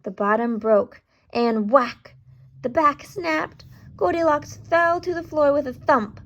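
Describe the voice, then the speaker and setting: Slow-paced woman reading to young children. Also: sits high, lo-fi sound. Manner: deliberate, dramatic emphasis, prompting questions. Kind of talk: expressive children's read-aloud with asides to listeners.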